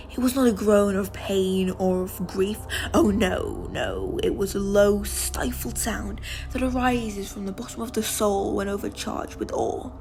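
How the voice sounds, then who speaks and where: Woman doing a crazy gloating killer from a gothic tale, super theatrical, lots of breathy whispering, music behind. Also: mid-range voice, a little mellow, natural voice. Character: deranged, gloating murderer confessing while insisting on sanity. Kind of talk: dramatic gothic story reading.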